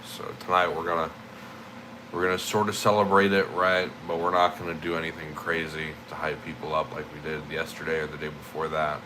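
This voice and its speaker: A man's voice: very deep voice, flat delivery, brisk, background noise. A man livestreaming in casual chat, sniffly and stuffy-nosed, rambling and repeating himself in a laid-back way.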